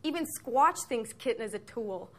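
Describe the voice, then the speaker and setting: A woman's voice: on the high side, animated, a little slow, loud music behind the voice. A young woman in her twenties hosting a sports news show, reading a script with sarcastic, mocking asides from a studio on a lapel mic. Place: television studio set.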